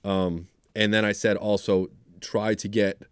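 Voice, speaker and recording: A man with a fairly low voice chats as a podcast co-host. He talks casually and haltingly, often repeating a phrase before moving on. The sound is dry, with little room echo. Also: little pitch movement, clear, resonant tone. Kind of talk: casual banter.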